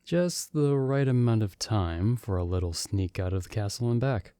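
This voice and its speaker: A man's voice: fairly low pitch, animated, normal speed, mellow, no room sound, studio quality, natural voice. A man playing a playful young man, the hero's friend who was summoned along with him, in an ASMR audio roleplay. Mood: hushed, urging. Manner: teasing, friendly and upbeat. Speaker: voice actor.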